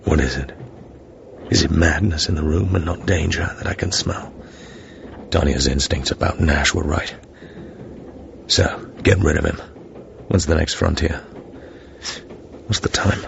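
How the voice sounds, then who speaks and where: An actor playing a cool, wary British secret agent in a radio drama, terse, dry and controlled. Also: male voice, rumbling low, a little slow, creaky, pauses often, exaggerated voice. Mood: grimly realizing. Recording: slightly noisy, muffled radio broadcast recording.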